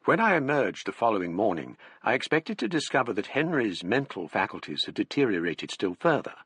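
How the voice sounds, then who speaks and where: A British gentleman narrator tells a gothic tale. His voice is lowish and husky with lively intonation, recorded dry and close as radio drama narration. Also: a little fast, dry recording, heavily acted. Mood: grave, reflective. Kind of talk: scripted first-person narration in radio drama.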